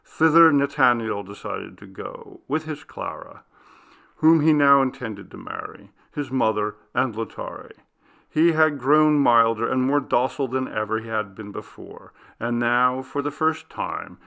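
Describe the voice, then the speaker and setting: A man reading a classic Gothic story as scripted narration, in a theatrical, deliberate, literary manner. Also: medium pitch, lively intonation, gravelly, bright, forceful tone. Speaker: audiobook narrator.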